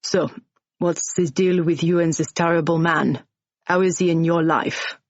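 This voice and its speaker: A woman reads as a wealthy bar owner in his sixties, soft-spoken with a French accent. His voice sits low and unhurried. The recording is dry and a little dull. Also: fairly even intonation. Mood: affable.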